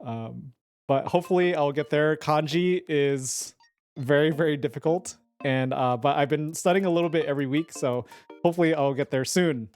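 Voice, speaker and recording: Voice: medium pitch, normal speed, a little mellow, soft background music. A streamer chatting casually on a live stream, giggling often and rambling with lots of fillers. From United States.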